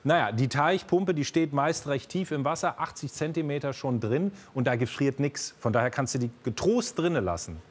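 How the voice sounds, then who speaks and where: A man's voice: on the high side, lively intonation, normal speed, few pauses, slightly rough. A man hosting a quiz show, explaining answers in an unscripted way.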